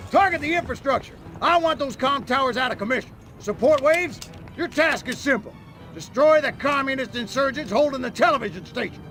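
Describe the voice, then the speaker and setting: A man's voice: very high voice, fairly bright tone. A man playing a jingoistic, bellicose army commander barking orders in a video game cutscene. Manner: barking, blustering military delivery.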